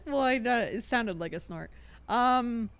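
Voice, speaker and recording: A woman livestreamer chatting casually with viewers over a game, giggly and quick to laugh. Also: fairly high pitch, animated, clean tone. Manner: giggly, bubbly, laughs often. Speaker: livestreamer host. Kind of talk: casual chat with live viewers while gaming.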